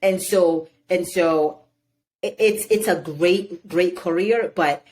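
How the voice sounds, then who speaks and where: A woman's voice: on the low side, slow. A woman in her thirties, born in Haiti, telling stories animatedly in a casual interview and leaning often on "you know". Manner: animated storytelling, many "you know" fillers. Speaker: guest.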